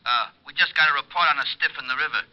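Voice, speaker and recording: A hapless, flustered police sergeant, voiced by a man in a vintage radio play. His medium-pitched voice hesitates and stumbles. The sound is dull and old. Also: rapid-fire, natural voice. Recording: muffled old radio recording.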